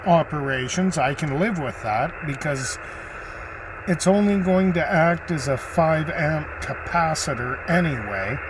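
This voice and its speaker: A man giving an unscripted gear review in a measured, halting way with deliberate pauses. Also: fairly high pitch, background noise. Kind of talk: unscripted product review talk.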